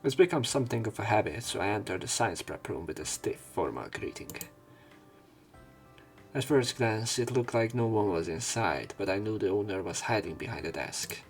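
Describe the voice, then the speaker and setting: A man's voice: lowish voice, lively intonation, normal speed, soft background music. A man reading visual novel narration aloud as a let's play commentator, dropping in casual, wry asides.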